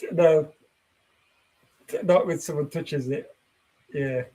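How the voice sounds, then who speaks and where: A man's voice: fairly high pitch, takes it slowly, dark tone. A man chatting casually as a fan calling in to a football show. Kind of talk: casual chat.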